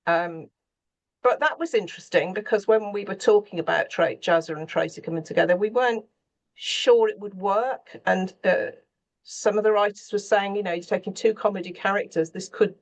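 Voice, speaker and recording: A woman speaking as a scriptwriter on a panel, candid and thoughtful, often pausing mid-sentence as she reflects. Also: mid-range voice. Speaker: scriptwriter for radio and theatre.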